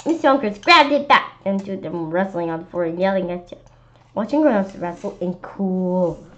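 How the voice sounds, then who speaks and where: Expressive female storybook reader, mid pitch, slightly dull recording. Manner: lively, expressive narration. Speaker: children's book reader. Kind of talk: storybook read-aloud.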